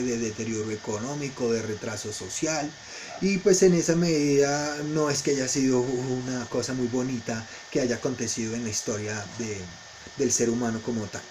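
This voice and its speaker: A man's voice: a little high, warm tone, a little hiss or hum, rough recording. A man teaching a history lesson to students in an informal lecture style, explaining didactically and often checking in with short questions.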